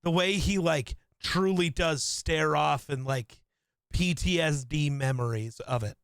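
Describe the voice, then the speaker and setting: A man co-hosting a casual podcast, an excitable fan who swears casually. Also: fairly high pitch, a little slow, vocal fry, clear, resonant tone, no echo, clean studio sound. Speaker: podcast co-host. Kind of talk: casual chat.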